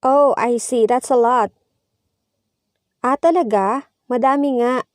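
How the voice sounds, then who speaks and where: A woman's voice: a little high, measured pace, darkish tone, no room sound. A woman reading both parts of a scripted practice dialogue, each line followed by its translation. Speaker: language teacher.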